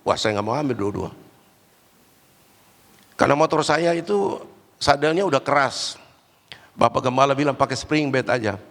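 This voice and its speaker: A man preaching a Pentecostal sermon while fighting a cold and cough, swinging between loud, raised exhortation and low, quiet asides. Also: moderate pace, long pauses. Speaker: guest preacher. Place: large church hall. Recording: church hall with long reverb.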